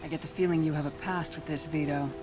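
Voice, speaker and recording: A woman's voice: low-pitched, steady tone, fast, noisy background, natural voice. A woman playing a confident military commander in a video game, direct and composed. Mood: cool, probing. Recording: music behind the voice.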